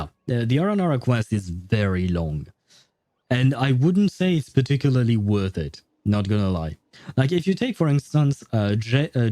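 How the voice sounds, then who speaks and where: A man's voice: mid-range voice, warm tone, dry recording. A man who is a non-native English speaker chats casually with his livestream viewers in a polite, hesitant, rambling way. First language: not English. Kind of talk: casual livestream chat with viewers over gameplay. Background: faint game music.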